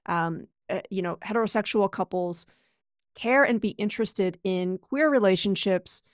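A woman's voice: medium pitch, normal speed, dry recording, studio quality. A middle-aged woman hosting a podcast, talking conversationally and thoughtfully with plenty of filler words. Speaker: podcast host. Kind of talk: podcast interview.

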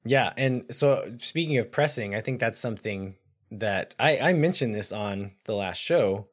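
Raspy, hoarse low-voiced man. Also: animated, unhurried. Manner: analytical, thinks aloud with fillers. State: hoarse from yelling. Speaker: podcast co-host. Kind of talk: casual sports podcast chat.

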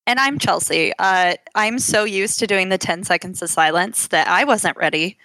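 A woman co-hosting a podcast on a gaming headset while lying at home, with a wry, deadpan way of talking. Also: medium pitch, moderate pace, dry recording, rough recording. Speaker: podcast co-host. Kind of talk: casual chat. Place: lying in a corner of her home.

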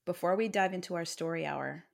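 A woman's voice: fairly low pitch, brisk, gravelly. A woman co-hosting a casual podcast, chatty and self-deprecating, laughing often. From Iowa. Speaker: podcast co-host. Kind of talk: casual true-crime podcast chat.

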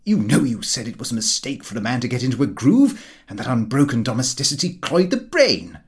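A man voicing an uneasy, evasive married Edwardian gentleman in an audiobook, protesting politely and hesitantly. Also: mid-range voice, sing-song, a little fast, natural voice. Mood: defensive.

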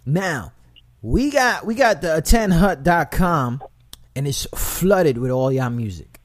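A man with a high voice hosting a casual radio chat, animated and profane, giving shout-outs and often repeating himself. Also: takes it slowly, no room sound, studio quality. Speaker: internet radio host.

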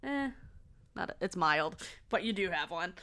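A woman's voice: on the low side, animated, sharp tone. A woman with a Michigan accent co-hosting a podcast, chatting and teasing her co-hosts. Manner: teasing, quick interjections, laughs. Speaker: podcast co-host. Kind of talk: casual chat.

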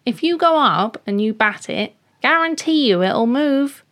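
A woman's voice: on the high side, lively intonation, measured pace, fairly bright tone, pitched above the performer's own voice. Little male bat, performed by a woman, helpful, unbothered and reassuring, for a cosy animal story. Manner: matter-of-fact, reassuring.

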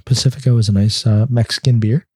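A man co-hosting a casual podcast, talking through a bit of congestion. His low, mellow voice has a husky edge. He rambles with frequent fillers, recorded close and dry. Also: fairly even intonation, quick pace, no room sound. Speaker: podcast co-host. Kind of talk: casual chat.

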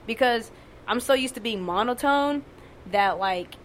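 A young woman with a fairly monotone voice, talking candidly and casually, swearing and using lots of fillers. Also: a little high, a little slow, a little hiss or hum. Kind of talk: casual conversation.

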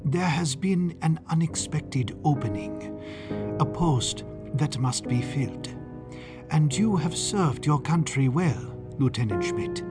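A man voicing a sinister prince who murmurs softly and quietly. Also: fairly high pitch, animated, slow pace, music in the background. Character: sinister soft-spoken male prince.

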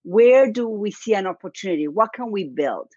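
A woman's voice: medium pitch, expressive, moderate pace, husky, no echo. Woman, forties, Argentine accent, chatty storytelling, dull lo-fi dry sound. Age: 40s. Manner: warm storytelling with frequent fillers and laughs. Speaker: guest, business coach. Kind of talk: interview conversation.

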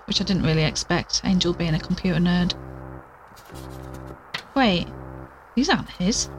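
A woman's voice: medium pitch, close to an everyday voice, low-quality recording. A woman playing a plucky, curious investigator in an audio drama, chatting to listeners with wry asides as she searches.

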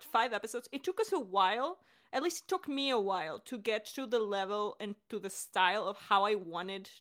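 Chatty, higher-voiced woman hosting a casual Q&A. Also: a bit bright. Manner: chatty, enthusiastic, giggly. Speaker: audio drama director and voice actor, hosting a Q&A. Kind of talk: casual unscripted Q&A chat.

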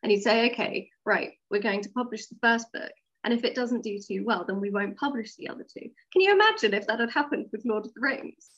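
A woman's voice: a little high, brisk, a bit bright, dull audio. A woman, an author being interviewed, chatting casually and telling anecdotes. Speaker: author and audio drama writer, interview guest.

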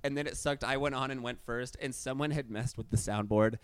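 A young man, a stand-up comic co-hosting a podcast in his living room, talking loudly and joking with frequent laughs. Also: medium pitch, a little fast, clear, resonant tone. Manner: loud, joking, laughs often. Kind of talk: casual chat.

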